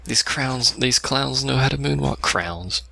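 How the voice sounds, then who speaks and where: A man's voice: medium pitch, animated, measured pace, creaky, pushed and bright, a little hiss or hum. A man doing casual let's play commentary, full of wry, sarcastic asides. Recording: game music behind the voice.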